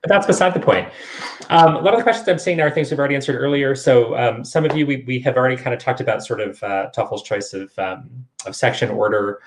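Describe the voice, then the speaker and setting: A man's voice: fairly low pitch, poor audio. A man hosting a conversational online interview, chatty and encouraging as he puts questions to his guest. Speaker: interviewer and host of a test-prep show.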